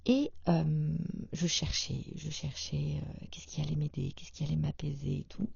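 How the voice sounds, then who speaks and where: Woman podcast host, dark husky voice, soft hesitant confiding delivery, dry dull recording. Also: low voice, flat delivery, normal speed, no echo. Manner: soft, half-whispered and hesitant, with many fillers. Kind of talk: intimate personal monologue.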